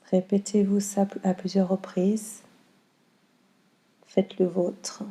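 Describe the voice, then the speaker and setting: A woman guiding a yoga nidra meditation in a calm, soothing, unhurried way with long pauses. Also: medium pitch. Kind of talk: slow guided meditation.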